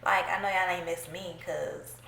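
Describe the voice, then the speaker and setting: A young woman chats through a makeup tutorial in a small room at home, her voice stuffy from a cold. She keeps a playful, chatty tone with her viewers, and her voice has a gravelly fry. The room sounds echoey, with a faint hiss underneath. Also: lowish voice, steady tone, normal speed, sharp tone. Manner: chatty, playful, talks to viewers while working. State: congested from a cold. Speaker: beauty vlogger. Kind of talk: casual makeup tutorial chat.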